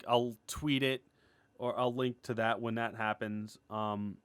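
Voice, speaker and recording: A man with a mid-pitched voice talks casually in a very dry, close recording. He rambles excitably through an unscripted update as an online creator, starting and stopping his phrases. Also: clean tone, no room sound. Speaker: animator and web series creator giving an update.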